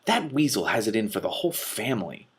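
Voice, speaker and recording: A man's voice: on the low side, very animated, quick pace, husky, voice pushed up. Storybook fire witch, male, read by a man: hot-headed, aggrieved, emphatic ranter with a grumbling edge. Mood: angry.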